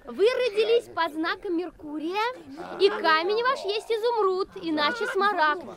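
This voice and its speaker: An actress playing a fairground fortune-teller who reads out predictions with pompous patter. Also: female voice, very high voice, lively intonation, unhurried. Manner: sing-song pompous patter. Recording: radio play with crowd behind.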